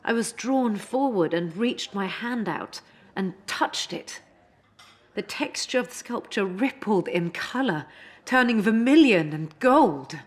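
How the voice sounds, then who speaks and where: A woman's voice: mid-range voice, animated, takes it slowly. A woman playing a reflective futuristic story heroine who recounts her experiences descriptively in a dramatic reading. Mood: wondering. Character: reflective female hero recounting a futuristic encounter with art.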